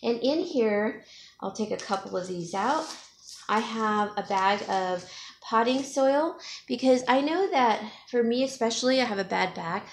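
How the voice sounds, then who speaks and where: A chatty woman vlogs at home in a relaxed, rambling way, sniffling a little from allergies. She sits some distance from the mic, so her voice comes across echoey and roomy. A faint hiss runs under everything. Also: on the high side, measured pace. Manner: rambling, chatty, with fillers and small laughs. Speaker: home stager and DIY vlogger. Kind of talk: casual chat to camera.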